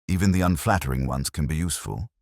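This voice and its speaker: Man playing a deep-voiced, courteous police inspector. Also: fast, vocal fry, no room sound, clean studio sound, exaggerated voice. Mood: mild, diplomatic. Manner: mild, polite, dryly understated. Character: calm, courteous, diplomatic male police inspector.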